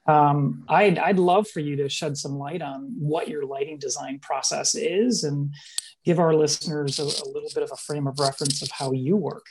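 A man's voice: on the high side, fairly even intonation, slight background noise. A man co-hosting a design podcast, speaking with praise and frequent restarts. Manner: warm praise, halting restarts. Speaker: podcast co-host. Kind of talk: podcast interview.

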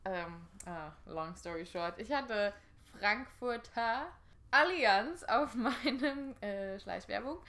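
A woman's voice: mid-range voice, lively intonation, slow pace, a bit bright. Easygoing young German woman co-hosting. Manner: easygoing, laughs often. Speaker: podcast co-host. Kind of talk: casual chat.

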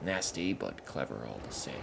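Here is a huge male troll king, cheerful and tough, tossing out loud, wry remarks, as a man voicing him in a story. His tone is deep and gravelly. A steady hiss runs under the recording. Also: moderate pace, full character voice.

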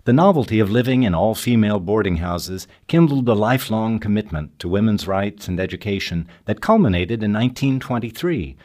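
A male narrator reads a scripted documentary. His voice sits a little low, and his intonation moves up and down in a very lively way. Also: brisk, hardly any pauses. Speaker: narrator and interviewer.

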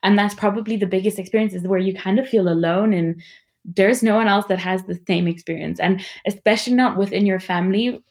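A young woman giving a reflective personal account in a thoughtful, measured and candid way. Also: medium pitch.

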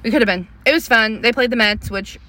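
A woman's voice: on the high side, quick pace, sharp tone. A woman co-hosting a podcast, chatting casually with friends, laughing a lot and talking straight to the listeners. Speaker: podcast co-host. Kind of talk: casual chat among friends.

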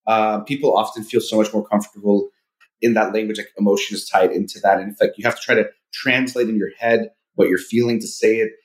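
A man's voice: lowish voice, fairly even intonation, fast, poor audio. A man in his forties from Southern California, a psychologist and podcast guest who first spoke Farsi, talking thoughtfully with many fillers. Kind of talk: casual podcast interview.

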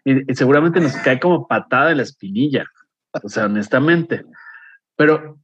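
A man's voice: medium pitch, expressive, normal speed, breathy edge, no room sound. Mexican man hosting, dull sound. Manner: playful, teasing questions. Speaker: host. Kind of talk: casual interview.

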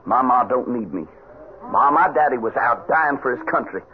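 An actor playing an earnest, stubborn young cowhand back from soldiering, with an American Western accent. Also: male voice, medium pitch, animated, moderate pace, close to an everyday voice. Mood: bitter, determined. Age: young adult. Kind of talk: old-time radio western acting. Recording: noisy old radio broadcast, narrow band.

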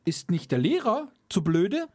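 Husky high-voiced man ranting bluntly. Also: sing-song, a little slow, darkish tone. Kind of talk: casual chat.

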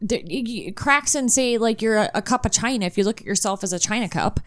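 A woman's voice: on the high side, lively intonation, fast talker, fairly bright tone. A woman co-hosting a casual recovery podcast, affirming the others and explaining with homely images. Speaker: podcast co-host.

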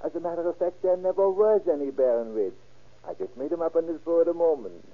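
A man's voice: a little high, lively intonation, quick pace, close to an everyday voice. A gloating male convict played by a radio actor brags with mocking glee. He is a remorseless killer from an old crime drama, heard through a narrow, tinny vintage recording. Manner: mocking, gleeful, boastful. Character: gloating, laughing convicted killer in his cell. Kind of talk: 1930s-50s radio crime drama acting.

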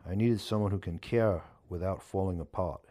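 A man's voice: deep voice, normal speed, mellow, slight background noise, lightly acted. A man voicing a candid, resigned young man who is guarded but caring, in a storybook reading. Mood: sad, firm. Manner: frank, resigned, plain-spoken.

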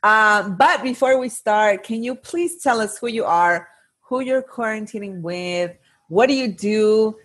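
A woman's voice: medium pitch, a little slow, breathy edge. A woman in her thirties co-hosting a podcast, chatting casually and telling stories with lots of "like", slipping into Spanish now and then. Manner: animated storytelling with many "like"s, mixing in Spanish. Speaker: podcast co-host. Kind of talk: casual chat.

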